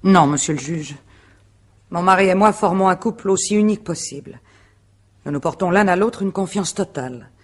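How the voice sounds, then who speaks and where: A composed, proud wife and business director, played by an actress in a radio mystery. Her voice is husky, and she answers questioning in articulate, formal phrases with self-assurance and dry irony. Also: lowish voice, moderate pace, close to an everyday voice. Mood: composed, firm. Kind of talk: French radio mystery drama acting.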